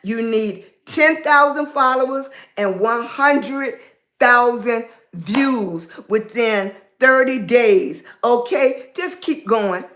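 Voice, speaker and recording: High-voiced Southern woman streaming live. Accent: Southern US, Virginia. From Virginia. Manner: warm, affectionate, calls everyone baby, drawn-out words. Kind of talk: casual live-stream chat greeting viewers.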